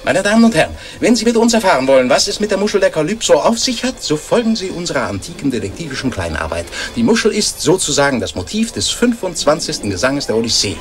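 A man's voice: fairly high pitch, very animated, fast, few pauses, music in the background. An actor in a German radio play playing a mock-solemn scientific presenter, declamatory and ornate, grandly addressing his audience. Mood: proclaiming. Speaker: narrator.

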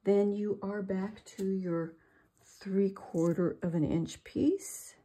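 A woman's voice: medium pitch, steady tone, warm tone, breathy edge. An older woman giving a chatty, step-by-step craft demonstration at her work table. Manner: slow, chatty, self-deprecating. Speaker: craft tutorial host.

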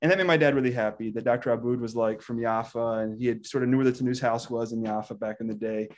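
A man in his thirties from Texas, a scholar talking as a guest on a video call, animated, laughing often and restarting his sentences. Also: mid-range voice, quick pace. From Texas, United States. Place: indoor room with plain wall. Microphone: video call webcam.

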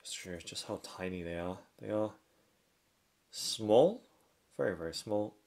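Low-voiced, slow-talking man demonstrating a product. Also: expressive, creaky, a little mellow. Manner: step-by-step, halting short phrases. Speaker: product reviewer. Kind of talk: casual unboxing and hands-on demonstration.

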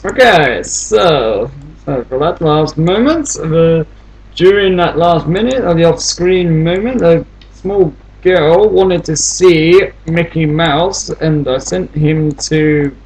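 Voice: medium pitch, slow, a little mellow, slightly rough, low-quality recording. A gamer giving casual commentary on their own play, muttering to themselves and often repeating phrases. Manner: mumbling to self, repeating phrases.

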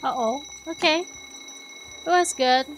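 A Brazilian woman whose first language is Portuguese, commenting slowly and haltingly in English as she plays and thinks aloud. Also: high voice, a bit bright, faint music behind. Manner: slow, halting, thinking aloud while playing. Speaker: gaming channel host. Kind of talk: gameplay commentary.

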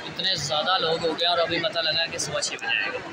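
A man's voice: on the high side, flat delivery, fairly quick. A man with a Pakistani accent chatting casually. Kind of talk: casual chat. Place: large busy indoor shopping mall. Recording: noisy mall, crowd din behind.

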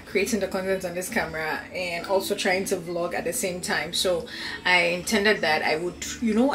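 A woman's voice: mid-range voice, echoey room. A woman in her twenties chatting upbeat to her viewers in a casual vlog, filmed on a camera at arm's length at home. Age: 20s. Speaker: vlogger. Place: bedroom and home office, indoors. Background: air conditioner hum.

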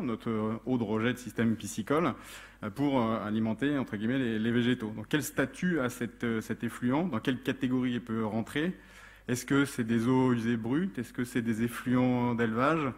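A male speaker with a mid-pitched voice gives a technical conference talk. He explains point by point, pausing to search for words and repeating some as he goes. He speaks into a lectern microphone in a lecture hall. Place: indoor lecture hall with seated audience.

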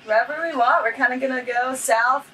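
Young woman from saipan chatting easy in a boat cabin, kinda high voice, far from the mic with some noise. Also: brisk. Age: 20s. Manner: relaxed, laid-back with fillers. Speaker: boat owner and licensed captain. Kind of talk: casual interview answers. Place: small wooden boat cabin. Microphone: camera across the table. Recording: distant camera mic with background noise.

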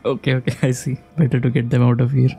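A woman's voice: rumbling low, flat delivery, fast talker, creaky. A woman giving casual, giggly playthrough commentary, reacting aloud to a video game. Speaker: gaming streamer. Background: game music.

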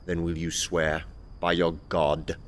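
An actor playing a proud, easily wounded anarchist poet in a radio play, intense, indignant and grandiose. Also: male voice, low voice, completely flat delivery, slow pace, a little hiss or hum. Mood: intense, brooding. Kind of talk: theatrical radio play acting.

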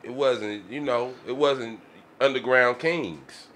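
A man talks casually into a podcast mic. His voice is bright and forceful, at an ordinary pitch. He speaks emphatically, circling back to repeat his phrases. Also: slow. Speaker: podcast panelist. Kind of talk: casual chat. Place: small podcast room. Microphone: studio mic on boom arm.

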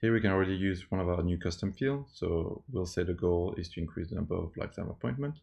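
A man narrating a step-by-step software tutorial as a demo presenter. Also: low voice, quick pace, vocal fry, muffled recording. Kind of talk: scripted tutorial narration over a screen recording.

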